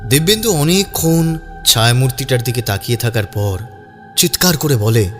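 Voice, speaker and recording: A man's voice: medium pitch, expressive, normal speed, a bit bright. A man narrating a scripted story in a dramatic audiobook style. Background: background music.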